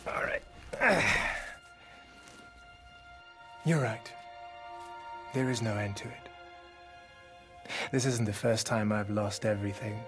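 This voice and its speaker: An actor playing a swaggering, wry mercenary leader in a video game, with a theatrical, boastful manner. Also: male voice, on the low side, a little slow, long pauses, music in the background, lightly acted. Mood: grim resolve. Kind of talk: video game cutscene acting.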